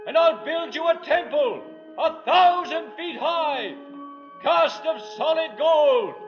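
A proud, wealthy patriarch in an old radio play, pitched extremely high. He declaims grandly and desperately, dragging out his words. The sound is muffled, with music underneath. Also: animated, slow, exaggerated voice. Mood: grandiose, commanding. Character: proud rich patriarch loudly bribing God in desperation. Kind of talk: 1940s radio drama acting.

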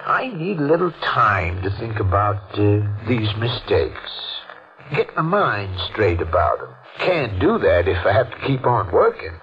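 An actor playing a sly, folksy small-town hotel clerk turned blackmailer in a 1940s radio mystery, with a rural American accent and feigned innocence. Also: male voice, fairly low pitch, sing-song, measured pace. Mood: sly, insinuating. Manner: drawling folksy speech, sly feigned innocence, chuckling insinuation. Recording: dull, noisy old radio recording.

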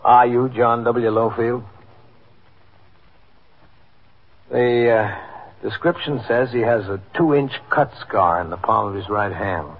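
An actor playing a calm, methodical police captain in a 1950s radio drama, speaking in a matter-of-fact way. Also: male voice, mid-range voice, a little slow. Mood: firm, patient. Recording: noisy narrow-band 1950s radio recording.

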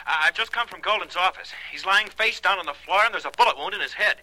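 An edgy young office man on a telephone in vintage 1940s radio drama. His voice is high, very sharp and quick, with an urgent, clipped delivery. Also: full character voice, poor audio. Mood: urgent, shaken. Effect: telephone filter. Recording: vintage radio, filtered phone voice.

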